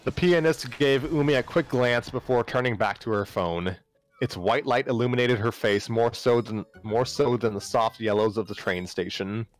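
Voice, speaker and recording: A man's voice: mid-range voice, normal speed, poor audio. A man narrating a story live on a stream, often stumbling and repeating phrases as he reads aloud. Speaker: narrator, livestream reader. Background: background music.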